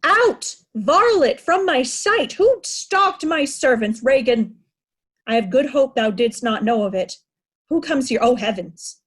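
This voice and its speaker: Woman playing aging king, high-pitched, slow, deliberate, declamatory, proud, anguished, Shakespearean stage reading. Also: lively intonation, fairly bright tone, slightly rough, heavily acted. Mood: indignant. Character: proud, aging king raging at ungrateful daughters. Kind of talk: Shakespearean reader's theatre acting.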